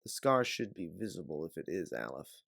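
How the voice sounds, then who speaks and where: A man's voice: low-pitched, very animated, normal speed, gravelly, a bit bright, slightly rough, dry recording, studio quality, pitched below the performer's own voice, full character voice. A man voicing a tough, sardonic woman bounty hunter in a sci-fi audiobook, terse and blunt. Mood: terse, wry.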